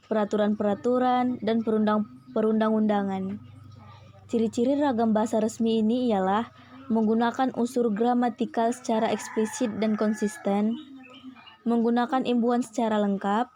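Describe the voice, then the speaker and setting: A woman's voice: on the high side, dark tone. A young adult woman giving a scripted educational presentation as a student, methodically going through numbered points.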